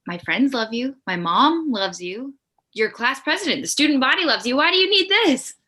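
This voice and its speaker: A woman's voice: high voice, lively intonation, moderate pace, pushed and bright, exaggerated voice. A woman playing a blunt, exasperated sixteen-year-old girl in a comedy script table read.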